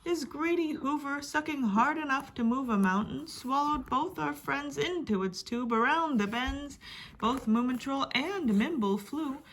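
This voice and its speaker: A woman storyteller giving a dramatic reading of a rhyming picture book, expressive, with hushed and emphatic passages. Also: high voice, measured pace, rough recording. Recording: faint background noise.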